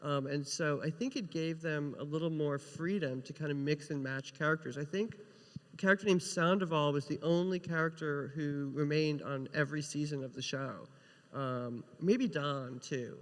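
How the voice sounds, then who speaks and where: A man's voice: fairly high pitch, moderate pace. A man chatting casually on a panel as a TV writer, often rephrasing mid-sentence. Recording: reverberant hall.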